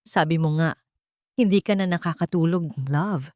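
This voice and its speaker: A woman's voice: fairly low pitch, moderate pace, darkish tone, no room sound, studio quality, lightly acted. A woman voicing a guilt-ridden, protective rich father and guarded husband in an audio drama, earnest and restrained.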